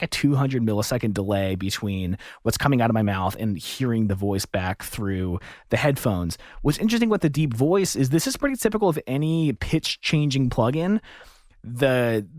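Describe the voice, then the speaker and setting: A man's voice: medium pitch, a little mellow, dry recording, clean studio sound. A man in his thirties gives a casual, unscripted product demo, thinking aloud in a playful way. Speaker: host demonstrating an audio plugin.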